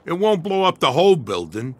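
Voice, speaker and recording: A man's voice: fairly high pitch, big pitch swings, fairly quick, no echo. An actor playing a brash young male gangster who talks in a cocky, crude way.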